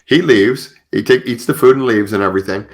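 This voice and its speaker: A man hosting a podcast, telling stories conversationally in a halting way with repeated phrases. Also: medium pitch. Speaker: podcast host.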